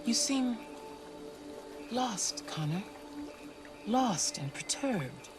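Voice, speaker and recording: An actress playing a calm, authoritative female handler who speaks in a soft, measured, probing way, in a video game cutscene. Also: on the high side, full character voice. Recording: game score music behind. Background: music.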